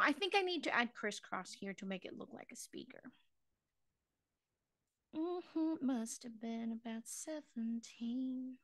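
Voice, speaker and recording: A slow, easygoing middle-aged woman from the Philippines leads a live online craft class. Her voice sits a little high, and she chats, laughs at herself and hums bits of songs while drawing. She is recorded dry on a close mic. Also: a bit bright, no echo. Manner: chatty and self-mocking, laughs often, sings snatches of songs while drawing.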